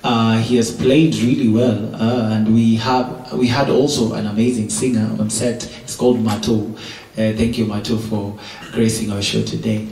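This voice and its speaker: A man's voice: fairly low pitch, flat delivery, normal speed, distant mic. Upbeat male show host. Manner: upbeat, encouraging, many short backchannels. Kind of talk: TV interview hosting. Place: indoor TV studio set, seated in an armchair. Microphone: handheld microphone. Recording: roomy studio, voice a little off mic, loud music behind.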